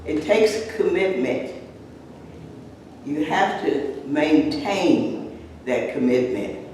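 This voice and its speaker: Older woman guest, slow and halting, repeats herself, chuckles, recorded far from the mic in a big echoey room. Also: sits low, animated, a little mellow, slight background noise. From Texas, raised in Chicago. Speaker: guest, retired teacher and actress. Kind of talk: reminiscing at an event. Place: large indoor room.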